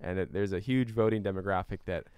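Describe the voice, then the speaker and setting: Guy getting interviewed, kinda low clean voice, earnest explainer, super dry sound. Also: fast talker, darkish tone, clean tone, dry recording. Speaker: climate educator and guest. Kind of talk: conversational interview answers.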